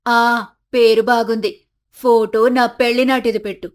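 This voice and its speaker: A high-voiced, feisty older village matriarch played by a woman for an animated family story. She grumbles and declares her grievances with indignant self-importance. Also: measured pace, natural voice. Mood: eager, bossy. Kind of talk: animated moral story acting.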